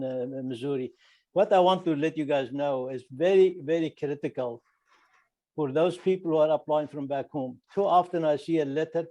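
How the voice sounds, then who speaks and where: A man gives earnest advice at a conference. His voice is mellow and a little higher than most men's, and he sometimes stops to hunt for a word. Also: poor audio. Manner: earnest advice, searching for words. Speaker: surgeon and mentor. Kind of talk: audience remarks at a conference.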